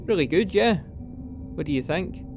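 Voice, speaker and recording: A man's voice: a little high, expressive, normal speed. A man playing an eager, slightly defensive crewmate in a conversational scripted audio drama. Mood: mildly defensive. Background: music.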